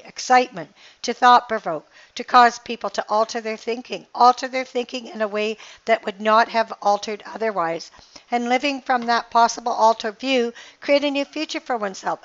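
Hoarse older woman, muffled motivational podcast. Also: a little high, fairly bright tone. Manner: earnest, rambling, inspirational, with restarts. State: slightly hoarse, losing her voice. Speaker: podcast host, life coach. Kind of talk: casual motivational monologue. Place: outdoors by the ocean.

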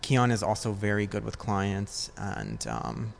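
Low-voiced man, hesitant in conversation. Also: sing-song, measured pace, vocal fry, slight background noise. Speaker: podcast guest, design business partner. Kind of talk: casual conversational interview answers.

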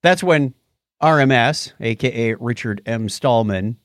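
A man's voice: medium pitch, lively intonation, a little slow, dry recording, clean studio sound. A middle-aged man co-hosting a podcast, explaining and retelling things in casual tech chat. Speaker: podcast co-host.

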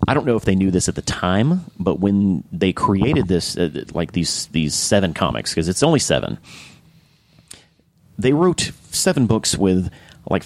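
A man hosting a casual podcast chat, enthusiastic and rambling, with frequent restarts and a slightly hoarse voice. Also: low voice, dry recording. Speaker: podcast host.

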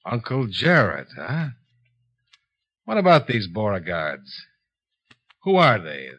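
Clipped, forceful radio detective man. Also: on the low side, wildly swooping pitch, slow pace, muffled recording, exaggerated voice. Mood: probing, guarded. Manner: clipped, dry questioning. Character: cool, probing male insurance investigator narrating his case. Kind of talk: 1950s radio detective drama acting.

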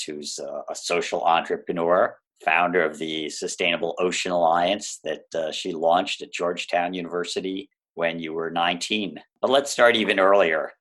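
Deep-voiced man hosting a podcast. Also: fairly bright tone. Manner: halting, hesitant phrasing. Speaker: podcast host. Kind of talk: conversational interview questions.

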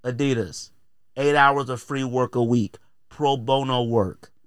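A man's voice: mid-range voice, fairly even intonation, measured pace, clean tone, no room sound, studio quality. A man hosting a podcast in a casual, opinionated rant, emphatic and exasperated, repeating phrases to drive points home. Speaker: podcast host.

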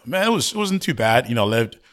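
A man's voice: mid-range voice, sing-song, brisk, a bit bright. A man with a Ghanaian accent, talking casually and personally as a guest on a podcast. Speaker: podcast guest, oil and gas professional. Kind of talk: casual chat, personal reminiscence.

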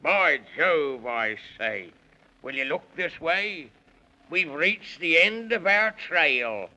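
An actor playing a hearty, pompous gentleman walrus with a British accent in a 1930s children's radio play, speaking in rhyme. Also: a little high, animated, heavily acted, poor audio. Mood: pleased. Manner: pompous, jovial, slow rhyming. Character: hearty, blustering gentleman walrus, loyal adventurer.

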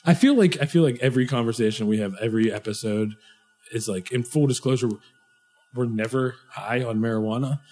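Joking husky-voiced man. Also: medium pitch, brisk, mellow, big-room sound. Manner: joking, laughs often. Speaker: podcast co-host. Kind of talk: casual podcast chat.

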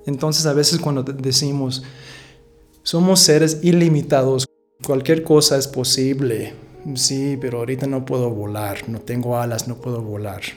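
A man whose first language is English muses aloud as a podcast host, wandering through his thoughts and correcting himself as he goes. His voice sits at an ordinary middle pitch, and a quiet instrumental track plays underneath. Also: steady tone, normal speed, soft background music. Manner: rambling, thoughtful, self-correcting, chuckles. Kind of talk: casual reflective monologue.

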